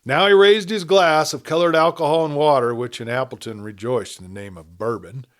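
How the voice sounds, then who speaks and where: A man's voice: a little high, a little fast. A man reading a western story aloud as a scripted narrator. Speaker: audiobook narrator.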